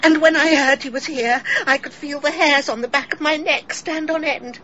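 A genteel, middle-aged English woman pouring out a confession with wistful melodrama. Her voice is high and very bright, in theatrical radio-play style. The recording is slightly dull. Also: fairly even intonation, normal speed, slight background noise. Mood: grave, tense. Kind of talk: British radio drama acting.

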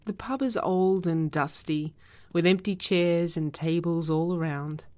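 Casting: female script narrator, deep for a woman, unhurried, measured reading of stage directions. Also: darkish tone. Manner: measured, descriptive.

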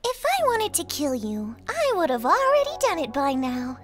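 A woman's voice: high voice, wildly swooping pitch, moderate pace, faint music behind. A woman voicing a brash, bratty, girlish demon villain in a cartoon audio drama, flippant and mocking. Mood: smug.

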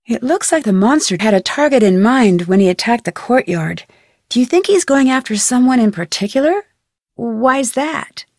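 A young American amateur sleuth for a mystery video game. Her voice sits a little high, earnest and polite, with an inquisitive, determined way of questioning. The recording is close and dry, like studio game dialogue. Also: very animated, moderate pace, darkish tone, no echo. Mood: curious, earnest. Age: young adult. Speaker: video game detective protagonist. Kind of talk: scripted adventure game voice acting.

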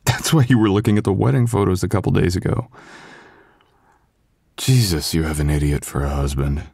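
A man playing a sweet, apologetic, devoted young husband in an intimate boyfriend ASMR roleplay, with a halting, tender, self-deprecating delivery. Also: sits low, gravelly, darkish tone, breathy edge, dry recording, clean studio sound, exaggerated voice. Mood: upset, self-blaming.

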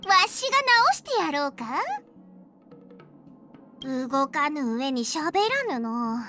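A woman's voice: high voice, expressive, takes it slowly, music in the background. A woman voicing a small, proud, playful dragon girl healer for a video game, talking in an archaic, self-important old-timer's way with a child's voice. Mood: playful, teasing. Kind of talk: acted video game character voice lines.

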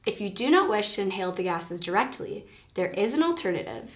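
A woman in her twenties giving a scripted educational explanation as a science presenter, in a measured demonstration delivery. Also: medium pitch, very animated. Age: 20s. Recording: echoey room with background noise.

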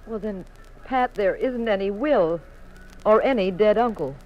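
An actress playing a curious, jealous detective's wife in a 1950s radio mystery, with an arch, dry delivery. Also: female voice, mid-range voice, a little slow, dull audio, natural voice. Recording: noisy vintage radio recording.

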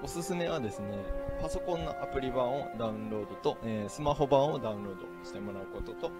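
A man explains things as a tutorial presenter, talking quickly at a medium pitch. Music plays clearly behind him. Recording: music under the voice.

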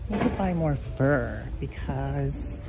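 A woman's voice: very deep voice, animated, slow pace, very noisy. A woman vlogger chatting casually to herself as she browses a large thrift store, filmed on a handheld phone. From Canada. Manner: chatty, musing aloud while browsing. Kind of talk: casual thrift shopping commentary. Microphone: handheld phone camera. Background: background music.

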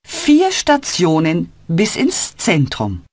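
A woman's voice: on the low side, huge pitch swings, takes it slowly, darkish tone, no room sound, heavily acted. A woman voicing a helpful adult woman who gives short answers in a scripted learner dialogue.

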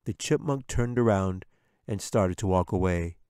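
A man's voice: sits low, measured pace, a little mellow, clean tone, no room sound, clean studio sound. A man narrating a scripted fantasy audiobook as its author and storyteller.

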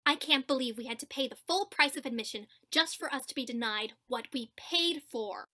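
A woman's voice: sits high, brisk, bright, forceful tone. A woman playing a pushy, entitled mother in a web cartoon, huffy and condescending. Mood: indignant. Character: pushy, entitled, indignant mother of small boy. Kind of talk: animated web cartoon acting.

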